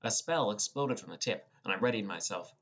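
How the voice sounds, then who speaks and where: A man's voice: on the low side, lively intonation, brisk, fairly bright tone. Young man narrating a fantasy audiobook. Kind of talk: scripted first-person fantasy narration.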